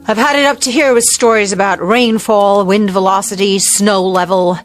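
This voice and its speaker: An actress playing a haughty, sharp-tongued fashion editor in a radio drama, wordy and sarcastic to hide her nerves. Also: mid-range voice, fairly even intonation, normal speed, faint music behind, close to an everyday voice.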